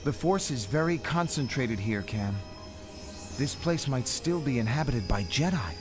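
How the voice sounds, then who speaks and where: Man as calm Jedi teacher, medium pitch, measured and solemn, audio drama, orchestral music underneath. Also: natural voice. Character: calm, earnest male Jedi master. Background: orchestral film score.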